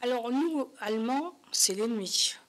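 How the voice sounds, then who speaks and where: A woman's voice: on the high side, expressive, a little slow. An older woman, a talk show guest, recalling her experiences conversationally in plain, short phrases. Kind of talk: conversational personal recollection.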